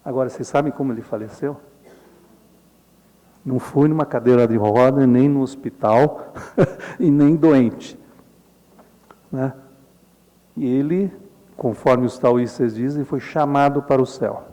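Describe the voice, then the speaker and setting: A middle-aged Brazilian man giving a relaxed symposium lecture, explaining slides in a chatty way and often adding tag questions. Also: mid-range voice. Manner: conversational, frequent tag questions, chuckles often. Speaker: Jungian analyst lecturing at a symposium. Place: lecture hall. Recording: reverberant lecture hall.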